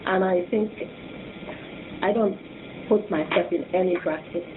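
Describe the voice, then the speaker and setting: A woman's voice: lowish voice, a little slow. An older woman speaking thoughtfully and a little hesitantly in a group discussion. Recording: tinny lo-fi recording with clear hiss.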